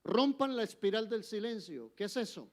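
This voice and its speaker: An older man with a Colombian accent preaching a sermon in church, emphatic, swinging between forceful exhortation and soft, intimate asides. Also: high voice, lively intonation, moderate pace. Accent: Colombian Spanish. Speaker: guest preacher.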